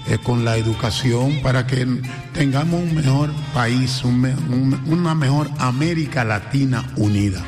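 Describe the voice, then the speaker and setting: A man with a Dominican accent speaking as a guest singer in a radio studio, earnest and grateful, dwelling on blessings. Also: medium pitch. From Dominican Republic. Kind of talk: radio interview.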